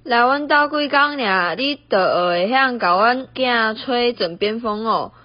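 Radio play: scolding middle-aged Taiwanese mother-in-law, highish voice, nagging , played by a young woman, dull lo-fi sound. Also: a little slow. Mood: threatening, scornful. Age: young adult. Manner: nagging, switches between Hokkien and Mandarin. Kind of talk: amateur radio play acting. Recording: dull, lo-fi, roomy home recording.

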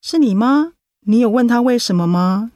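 A woman playing a caring high school homeroom teacher in a radio drama, patient and coaxing, with a Taiwanese Mandarin accent. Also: expressive, moderate pace, no room sound, clean studio sound, lightly acted. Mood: surprised concern. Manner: gentle, coaxing.

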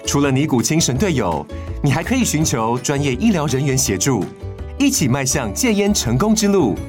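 A woman's voice: low-pitched, big pitch swings, normal speed, darkish tone. A woman reading a scripted commercial as an advertisement announcer. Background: background music.

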